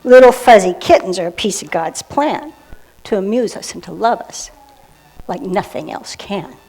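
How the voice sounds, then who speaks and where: A woman with a mid-range voice tells a story to children. She reads expressively, as a church member sharing verse and simple narration, and lets some phrases sink into a breathy hush. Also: medium pitch, expressive, moderate pace, low-quality recording. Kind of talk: storytelling to children, reading a rhyming poem aloud.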